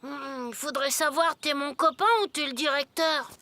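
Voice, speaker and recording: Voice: high-pitched, fairly even intonation, moderate pace, bright, forceful tone, dry recording. An actor voicing a cheeky, grumbly cartoon schoolboy with a casual, slangy way of talking. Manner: slangy, laid-back, grumbling. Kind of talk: French animated series dubbing. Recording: music behind.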